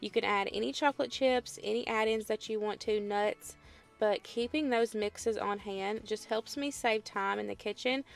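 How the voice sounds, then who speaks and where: A woman's voice: mid-range voice, normal speed, vocal fry, faint music behind. A woman in her thirties with a Southern US accent, casually explaining her home cooking in a voice-over. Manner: chatty, friendly how-to explaining with "y'all" and "um". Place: home kitchen.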